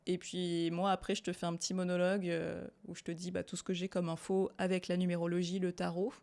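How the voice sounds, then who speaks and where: A young adult woman chatting casually as an interview guest, relaxed and talkative, often trailing off with fillers and laughing easily. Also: lowish voice, fast, vocal fry. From Italian descent, raised in France. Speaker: guest, tarot reader and illustrator.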